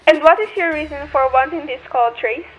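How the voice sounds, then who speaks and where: A woman's voice: sits high, brisk, close to an everyday voice, rough recording. A woman playing a formal, composed, bureaucratic chief telephone operator in a radio play, speaking in a procedural, official way.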